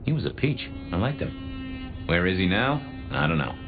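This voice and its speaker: A man's voice: medium pitch, huge pitch swings, moderate pace, gravelly. An actor voicing a chatty, wry, century-old male vampire in a video game, with a folksy, theatrical delivery. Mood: musing. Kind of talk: video game dialogue acting. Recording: muffled game audio under music.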